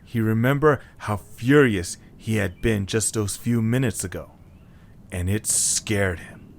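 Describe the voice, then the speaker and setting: A man's voice: lowish voice, unhurried, vocal fry, slight background noise. A man reading scripted narration as the narrator of a dramatic story.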